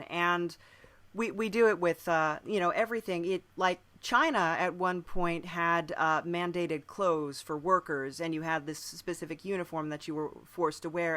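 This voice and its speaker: Woman on a podcast thinking out loud, crisp bright sound, chatty and thoughtful. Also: lowish voice. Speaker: podcast speaker. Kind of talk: conversational podcast discussion.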